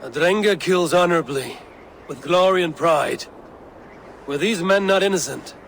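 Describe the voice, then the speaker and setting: A man playing a stoic, honourable Viking warrior leader in a video game, measured and solemn. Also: on the high side, lively intonation, fairly bright tone, a little hiss or hum. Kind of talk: dramatic video game acting.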